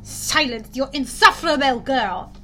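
A woman voicing a menacing male monster posing as a doctor, in a slow, formal, commanding manner for an audiobook. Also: sits high, expressive, bright, forceful tone, exaggerated voice.

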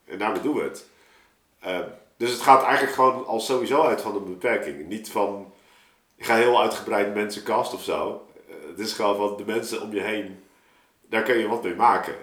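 A man with a fairly low voice explains things in casual conversation. He digresses and breaks off his own sentences as he goes. The recording has clear room echo. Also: moderate pace, fairly bright tone. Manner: digressive, conversational, interrupts himself. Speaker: artist, story maker on a podcast. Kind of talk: casual chat, explaining his creative process. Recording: roomy, echoing room sound.

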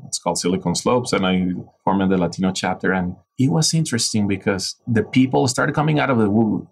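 Venezuelan man, low husky rasp, reflective podcast guest. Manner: reflective, frequent restarts and "you know". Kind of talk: casual interview conversation.

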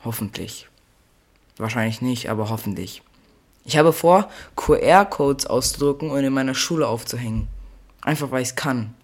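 A man's voice: mid-range voice, expressive, moderate pace. A teenage boy hosting a solo podcast, rambling playfully, correcting himself and losing his train of thought. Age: teens. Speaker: podcast host.

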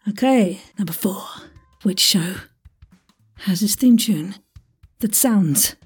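A woman's voice: medium pitch, slow pace, a little mellow, breathy edge, soft background music. A woman hosting a quiz in a casual, chatty way, playful and rambling as if talking to herself. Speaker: quiz host.

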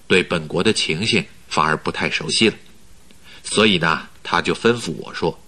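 An actor in a Mandarin radio drama playing a polite, flattering company recruiter who talks in a measured, persuasive way. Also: male voice, low-pitched, full character voice. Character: smooth-talking male company recruiter, flattering, persuasive schemer.

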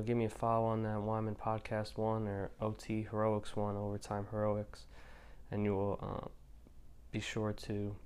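A man hosting a podcast in casual chat, relaxed and loose, with plenty of fillers and restarts. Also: lowish voice, clean tone. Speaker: podcast host.

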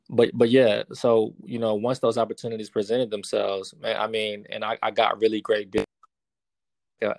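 Man in his thirties, low gravelly voice, flat delivery, casual interview guest, dry sound. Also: no echo. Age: 30s. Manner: relaxed, many fillers and restarts. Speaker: guest, real estate investor.

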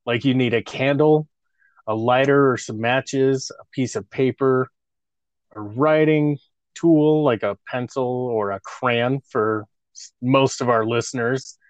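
A man's voice: medium pitch, unhurried, no room sound. A man in his thirties co-hosting a casual podcast, joking crudely and laughing often. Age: 30s. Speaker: podcast co-host.

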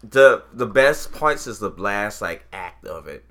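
A man's voice: lowish voice, expressive, a little slow, fairly bright tone. A man co-hosting a casual podcast chat about movies and games, blunt and slangy, swearing freely. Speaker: podcast co-host.

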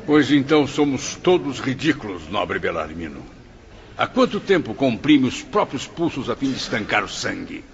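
Man acting creaky, theatrical nobleman. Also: medium pitch, sing-song, moderate pace. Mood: teasing. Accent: Brazilian. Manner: theatrical, florid. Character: eloquent nobleman, repentant patient in a spirit hospital. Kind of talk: radio drama acting. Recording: dull lo-fi radio recording, faint noise.

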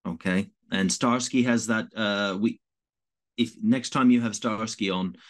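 A man from Canada speaks as an interview guest, his voice sitting a little low. He talks in a halting, reflective way and often pauses to restart a sentence. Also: fairly even intonation. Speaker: interview guest, volunteer evacuation worker. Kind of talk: conversational interview answers.

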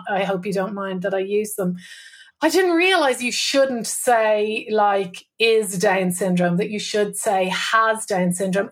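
A middle-aged Irish woman talking as a podcast guest, chatty and candid, often laughing in colloquial speech. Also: medium pitch. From Ireland. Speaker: guest, business owner and mother. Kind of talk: podcast interview, candid storytelling.

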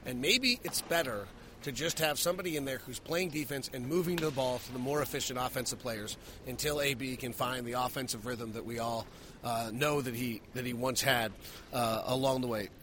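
A man's voice: medium pitch, fairly quick, noisy background. A man hosting a sports post-game podcast, breaking down the night's play as a broadcaster would. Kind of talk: post-game analysis and commentary.

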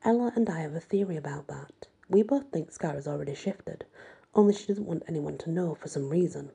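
Very husky, mellow woman reading a story. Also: deep voice, a little fast. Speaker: audiobook reader. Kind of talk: first-person scripted story narration.

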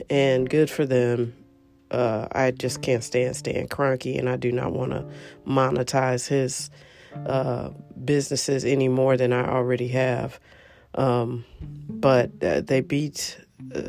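A woman's voice: a bass voice, darkish tone. A woman hosting a solo podcast, congested and coughing now and then, talking in a chatty, rambling, emphatic way. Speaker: podcast host. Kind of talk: casual solo podcast chat about football.

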